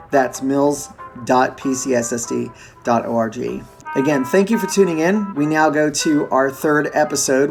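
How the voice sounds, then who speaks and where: Creaky-voiced announcer over music. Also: mid-range voice. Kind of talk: radio show announcement with hesitations.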